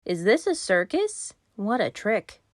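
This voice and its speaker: A woman's voice: medium pitch, very animated, measured pace, no room sound, clean studio sound. A woman voicing a sleepless young boy in a rhyming storybook, exasperated and pleading.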